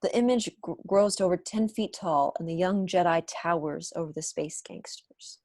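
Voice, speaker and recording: Low-voiced young woman narrating a script. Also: moderate pace, a little mellow, no room sound, clean studio sound. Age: young adult. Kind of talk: scripted narration, reading stage directions. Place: room at home, on a video call.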